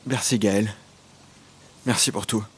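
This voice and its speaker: An actor playing an eager, devoted young criminal apprentice in an audio drama. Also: male voice, fairly low pitch, fairly even intonation, slow pace, breathy edge, heavily acted. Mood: warm. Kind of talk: audio drama acting and first-person narration. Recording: music under the voice.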